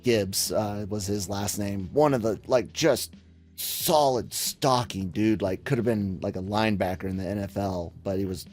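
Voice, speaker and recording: A man's voice: on the low side, moderate pace, a little mellow. A man in casual podcast chat, rambling and joking with slangy phrasing. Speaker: sports podcast panelist.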